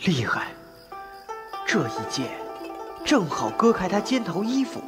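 Woman as a cheeky young man, very lively pitch. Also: measured pace, over loud music. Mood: cheeky, teasing. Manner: playful, teasing, exclamatory. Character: cheeky, boastful, mischievous young man of wuxia tale. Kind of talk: Chinese wuxia audio drama acting.

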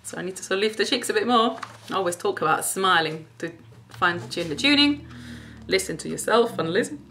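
A woman's voice: mid-range voice, lively intonation, fairly quick, sharp tone, a little hiss or hum. A middle-aged woman, a native Italian speaker, thinking aloud informally and self-critically as she practises and teaches singing at home. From Italy. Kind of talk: thinking aloud while demonstrating vocal study. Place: living room at home.

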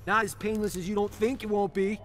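An actor playing a cocky, wisecracking action star in a fighting game, flippant and smug. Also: male voice, sits high, flat delivery, fairly quick, a bit bright.

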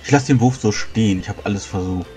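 A man's voice: on the low side, quick pace. A man talking casually as a player in a tabletop role-playing game discussion. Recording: music under the voice. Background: background music.

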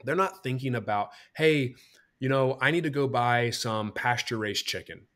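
A man in his thirties hosts a podcast from a bright room at home, heard over a video call. His voice sits at a middle pitch, and he walks listeners through ideas step by step, acting out what customers think. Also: moderate pace. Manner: explains step by step, acts out customer thoughts. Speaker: podcast host. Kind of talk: teaching podcast talk.

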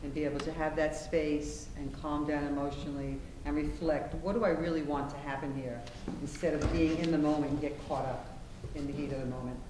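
A woman's voice: deep voice, fairly even intonation. Distant woman on a noisy echoey stage. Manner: measured, explanatory. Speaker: panelist, conflict resolution practitioner. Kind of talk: onstage discussion. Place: theater stage, seated.

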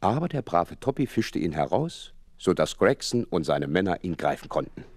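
An actor playing an earnest gentleman doctor who narrates a detective radio play in measured, literary phrases. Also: low-pitched, very animated. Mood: grave, measured.

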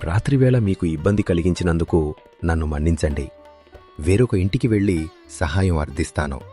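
A man playing a humble, earnest village husband and father in an animated moral story, speaking politely. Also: low-pitched, moderate pace. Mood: politely pleading. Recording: music behind the voice.

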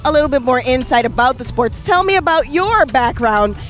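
High-voiced woman sports interviewer. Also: animated, fairly quick, a bit bright, soft background music. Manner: curious, asks long careful questions. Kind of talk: outdoor event interview. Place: outdoors at a race venue. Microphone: handheld mic.

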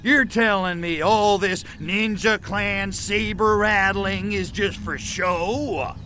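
An actor playing a brash, wisecracking alien invader in a video game, with an American accent and a cynical, slangy manner. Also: male voice, high-pitched, steady tone, slow, sharp tone, soft background music, exaggerated voice. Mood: scheming, exasperated. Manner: sardonic, slangy American wise guy. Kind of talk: video game cutscene acting.

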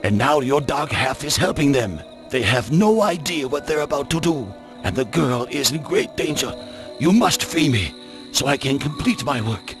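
A male actor voices an old exiled scholar from a video game. His speech is formal and portentous, rising and plunging dramatically over clearly audible music. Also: mid-range voice, animated, normal speed, lightly acted. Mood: pleading, solemn. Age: older. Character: grave, urgent old exiled scholar warning of evil.

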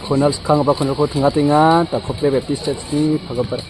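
A man casually commentating a football match from the sideline outdoors, shouting encouragement and laughing often. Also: fairly high pitch, steady tone, fast. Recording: crowd noise at an outdoor match. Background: crowd.

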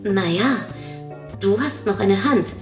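A woman's voice: mid-range voice, lively intonation, slow pace, voice pushed up. A woman voicing a scatterbrained, cheeky stoned newlywed in an audio short story, teasing and grumbling. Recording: roomy echo, faint music.

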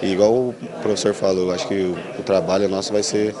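A young Brazilian defender, a man speaking in a quick, matter-of-fact way. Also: low-pitched, little pitch movement. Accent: Brazilian Portuguese. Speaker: football defender. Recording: crowd noise behind.

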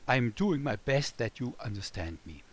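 A man from Germany with a German accent, teaching a children's music lesson in simple, deliberate phrases and playfully addressing the listener. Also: mid-range voice, big pitch swings. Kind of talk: scripted children's music lesson, speaking as a melody.

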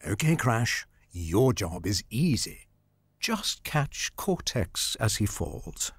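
A man's voice: lowish voice, sing-song, husky, no echo, full character voice. An actor playing a patient, soothing, patronising male therapist in a video game cutscene. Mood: calm, instructive. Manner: measured, patronising counsellor talk.

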